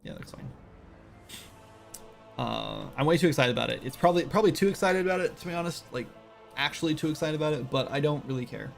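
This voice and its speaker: A man's voice: a little high, expressive, quick pace, creaky, faint music behind. A man in his late thirties, chatting casually on a game development livestream in a rambling, self-deprecating way full of fillers. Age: 30s. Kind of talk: casual livestream chat while working.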